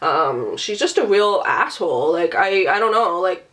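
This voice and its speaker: A woman's voice: on the low side, animated, a little slow, fairly bright tone. Sick, nasally woman rambling casually. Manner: chatty, casual, swears freely. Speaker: beauty and book vlogger. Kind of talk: casual rambling vlog chat.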